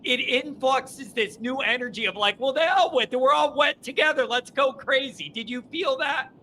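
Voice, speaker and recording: A man hosting a call-in sports show from his basement, talking in an animated, rambling way and mocking himself now and then. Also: very high voice, moderate pace, slight background noise. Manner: animated, rambling, self-deprecating. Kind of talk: casual call-in chat. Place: home basement.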